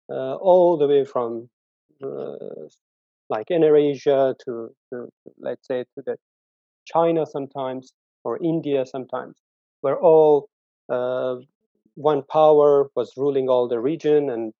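A man joins a panel and speaks in English that is not his first language, with frequent pauses on "uh". His audio sounds dull and muffled, and it is very dry, with no room sound. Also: medium pitch, no room sound. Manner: non-native English grammar, pauses with "uh". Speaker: guest panelist. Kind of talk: panel discussion.

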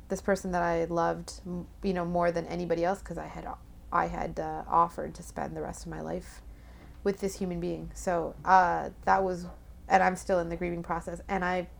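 A woman's voice: fairly low pitch, gravelly. A woman, speaking candidly and frankly in casual conversation. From Canada. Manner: candid, frank, hesitant fillers.

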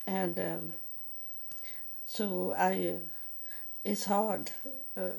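A woman whose first language is Swedish, speaking English in a halting personal monologue and often searching for words. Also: fairly low pitch, creaky, darkish tone, husky. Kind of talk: slow personal spiritual monologue.

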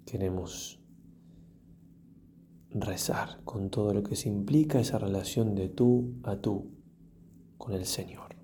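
Slow, mellow priest leading meditation. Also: male voice, fairly low pitch, a little hiss or hum. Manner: solemn, reflective, with long pauses and softly trailing phrases. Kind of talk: guided prayer meditation.

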